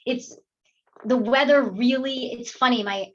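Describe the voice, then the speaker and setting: An actress from New York, chatting in an interview in an animated, talkative way, with many fillers and frequent laughter. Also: female voice, a little high, measured pace, dull audio. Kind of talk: casual interview chat.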